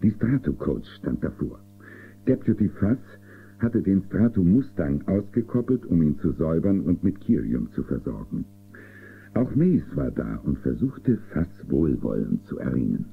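A man narrating a space western radio play for children as a calm storyteller. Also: deep voice, measured pace, creaky, faint music behind, exaggerated voice. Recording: muffled phone-like old cassette sound.

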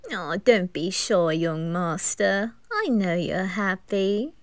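Female performer doing playful male undertaker, anime roleplay, eerie, polite, teasing, slow drawl. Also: mid-range voice, expressive, no room sound. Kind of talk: anime fan audio roleplay.

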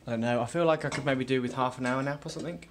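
A man's voice: mid-range voice, very fast, a little hiss or hum. Wry young man vlogging his travels. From England. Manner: dry, wry asides while filming himself. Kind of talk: casual travel vlog narration. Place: airport, plane, hotel room, indoors. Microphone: handheld camera at arm's length.

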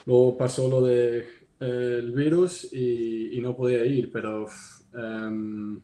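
A man who grew up speaking talks about a fellow player, slow and measured, searching for his words. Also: medium pitch, little pitch movement, warm tone, roomy sound. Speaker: guest tennis player.

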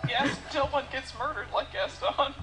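A woman's voice: high voice, moderate pace, poor audio. A young woman hosting a let's play, full of playful, giggly banter. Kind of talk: casual gaming chat. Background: game music.

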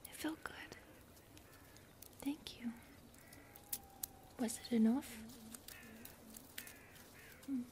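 A woman voicing a gentle, lonely, eerie forest spirit in a breathy, whispered ASMR roleplay, slow and wistful. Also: fairly high pitch, a little hiss or hum. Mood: shy, tender.

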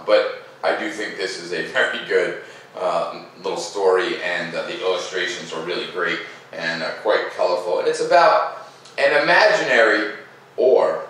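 A middle-aged man hosting a children's read-aloud, mixing expressive picture-book reading with informal, casual asides. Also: deep voice. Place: living room by a fireplace, indoors. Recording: echoey room, distant mic, faint noise.

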